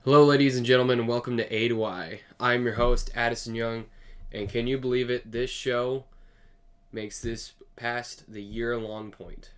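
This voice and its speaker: A young man hosting his own show, casually talking with a raspy, slightly hoarse voice from sinus allergies, rambling earnestly and correcting himself. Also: medium pitch, fairly even intonation, unhurried, a bit bright. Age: young adult. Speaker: host. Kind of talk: casual solo podcast talk. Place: home recording room. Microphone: desk USB microphone.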